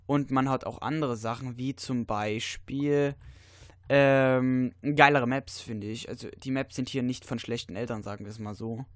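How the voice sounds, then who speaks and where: Stuffy-nosed teen gamer, dry close sound. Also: male voice, medium pitch, normal speed, no echo. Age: teens. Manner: rambling, many fillers, sudden excited outbursts. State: stuffy, has a cold. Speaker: let's player. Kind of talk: casual gameplay commentary.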